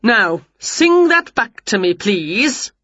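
A woman's voice: fairly high pitch, sing-song, slow, a bit bright, dry recording, highs cut off, voice pushed up, full character voice. A woman voicing a fussy, weary, worried male choir conductor in a children's storybook, flustered and hesitant.

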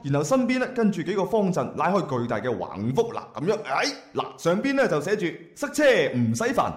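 An actor playing a brash, clueless young jobless graduate in a comic radio drama, with a Guangzhou Cantonese accent and a cheeky, rambling, hesitant manner. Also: male voice, on the high side, huge pitch swings, brisk. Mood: eager. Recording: echoey room.

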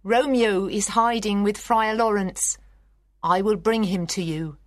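A loyal older nurse, played by a woman in a dramatised tragedy. She is sorrowful and plain-spoken, giving weight to slow, unhurried words. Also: mid-range voice, steady tone, dry recording, clean studio sound, lightly acted. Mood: reassuring. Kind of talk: dramatised audiobook of a classic tragedy.

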